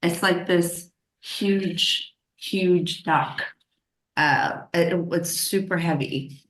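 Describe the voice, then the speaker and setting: Low-voiced woman, mixed accent, echoey room. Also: extremely slow, fairly bright tone. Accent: mixed American and Australian. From Mexico. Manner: chatty, laughs often, many fillers. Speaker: author guest. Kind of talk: casual chat. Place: home, in London. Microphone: headphones on.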